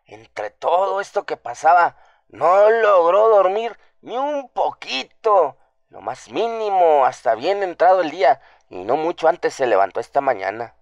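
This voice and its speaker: A man acts out a scared farm housekeeper woman in a radio drama. The voice is high and trembling, in a close, dry recording. She rambles on in superstitious alarm, gossiping and repeating herself. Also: very animated, a little slow, no room sound, muffled recording. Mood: fearful, ominous.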